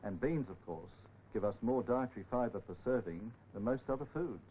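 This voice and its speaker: A man's voice: fairly low pitch, animated, normal speed. A middle-aged man giving a scripted presentation as a company nutrition expert. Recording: dull old film sound, faint noise.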